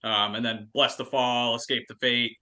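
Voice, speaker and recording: A man's voice: mid-range voice, flat delivery, normal speed, bright, forceful tone. A man in his twenties from Western New York, an easygoing metal band member chatting as a guest. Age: 20s. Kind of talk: casual chat.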